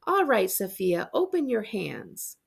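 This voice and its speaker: A woman voicing a warm, eccentric retired adventurer aunt from a storybook, with an effusive and grandly dramatic delivery. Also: mid-range voice, huge pitch swings, a little slow, creaky, breathy edge, exaggerated voice. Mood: generous, fond.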